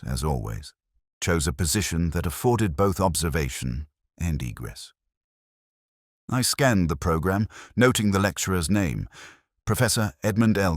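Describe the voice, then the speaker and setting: A man reading a detective story as its narrator, in a measured, formal, literary manner. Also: rumbling low, no echo, studio quality. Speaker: audiobook narrator. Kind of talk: scripted first-person detective story narration.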